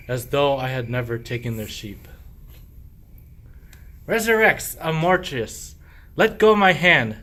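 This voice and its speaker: A man's voice: a little high, fairly bright tone. A man acting a sly, henpecked husband and sheep thief in a comic verse radio play, declaiming in rhyme. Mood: scheming, furtive.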